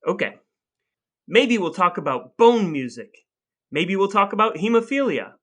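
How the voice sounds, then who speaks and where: A man's voice: high voice, very animated, normal speed, a bit bright. A man co-hosting a chatty podcast in a playfully mock-formal way. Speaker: podcast co-host.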